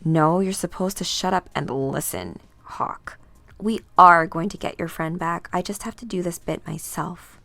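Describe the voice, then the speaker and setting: A woman giving a dramatic, theatrical read-aloud of a comic book, acting out the characters slowly and deliberately. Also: fairly low pitch, gravelly, fairly bright tone, dry recording. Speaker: comic book reader performing all characters.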